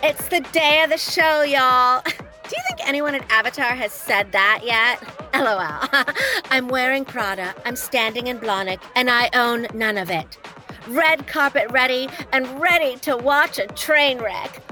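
Audio drama role: snarky fashion girl, high-pitched, smug, social-media sass over music. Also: measured pace. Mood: snarky, amused. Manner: snide, self-satisfied. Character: snarky, fashion-flaunting young female socialite. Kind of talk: sassy social-media style monologue.

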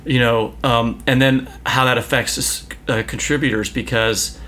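A man with a bright, forceful voice co-hosts a casual podcast conversation. He asks long, hesitant questions and pads his phrasing with fillers. He often drops in quick words of agreement. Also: medium pitch, steady tone. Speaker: podcast co-host.

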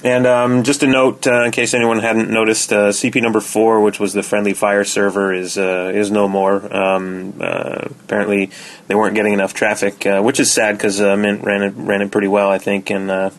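A man with a fairly low voice delivers an informal community report. His intonation stays very flat, and he feels his way through the details with some hesitation. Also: moderate pace. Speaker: server admin giving a weekly report. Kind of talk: casual podcast segment report.

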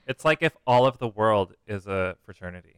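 A man's voice: fairly low pitch, lively intonation, brisk, a bit bright, clean tone, dry recording. An American man co-hosting a podcast, curious and chatty, asking questions and chuckling. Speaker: podcast co-host. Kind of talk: casual podcast chat.

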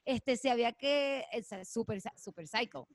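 A woman's voice: a little high, normal speed, dry recording, clean studio sound. A woman from Puerto Rico with a Puerto Rican accent, a podcast guest speaking intensely and mixing in English. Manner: intense, emphatic, mixes in English. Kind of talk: casual interview chat.

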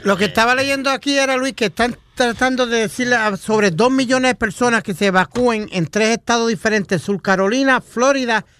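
A woman's voice: on the high side, fairly quick, hardly any pauses, fairly bright tone. A woman co-hosting a radio show, passing on news conversationally in Spanish with English phrases mixed in. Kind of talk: casual news chat.

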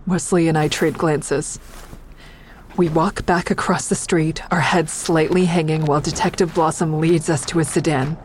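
A woman's voice: deep voice, steady tone, moderate pace, darkish tone, a little hiss or hum, close to an everyday voice. An actress playing a defiant, distraught young woman who narrates her own story in an audio drama. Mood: tense narration. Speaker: narrator and lead character.

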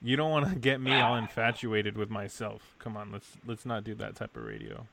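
A man's voice: fairly low pitch, animated, a little fast, vocal fry, very noisy. A man co-hosting a podcast, talking in a rambling, laid-back, jokey way. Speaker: podcast co-host. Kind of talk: casual podcast chat.

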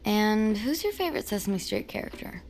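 A mid-pitched female voice with faint music behind. an easygoing young American woman in an audio drama, casual and loyal, teasing in a dry, wry way. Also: big pitch swings, normal speed, darkish tone. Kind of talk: naturalistic audio drama acting.

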